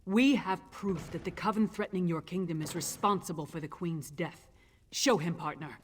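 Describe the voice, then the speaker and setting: An actress playing a blunt, loyal, towering half-giant warrior woman in a fantasy video game, gruff and resolute. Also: lowish voice, normal speed, slight background noise, natural voice. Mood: restrained. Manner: plain-spoken, gruff, resolute.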